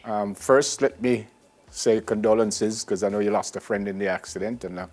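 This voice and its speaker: A man hosts a morning TV show and asks questions in halting bits, repeating his words. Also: lowish voice, fairly even intonation, a little mellow. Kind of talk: live TV interview.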